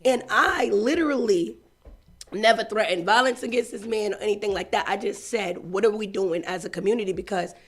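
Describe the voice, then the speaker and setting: A woman's voice: mid-range voice, brisk. A woman from Boston with a Boston accent, co-hosting morning radio, blunt, passionate and colloquial. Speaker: radio co-host. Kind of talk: morning radio talk show chat.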